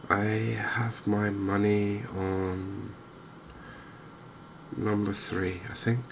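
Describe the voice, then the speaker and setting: A man giving softly spoken ASMR commentary on a game, hushed, hesitant and unhurried, close to the microphone. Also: fairly low pitch, completely flat delivery. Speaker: gaming video host. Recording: clear background noise.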